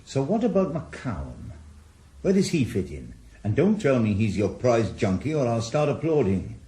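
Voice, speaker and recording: A man's voice: medium pitch, big pitch swings, moderate pace, mellow. An actor in a radio drama playing a retired male detective who is blunt and probing, with dry humour. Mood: questioning. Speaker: ex-policeman investigator.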